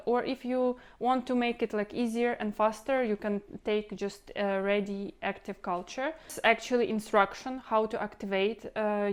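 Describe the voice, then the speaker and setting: Ukrainian accent lady doing a casual cooking vlog, clean smooth voice, kinda rambly, normal pitch. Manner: rambling, repeats words, non-native phrasing. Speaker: home cooking channel host. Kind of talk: casual instructional vlog talk.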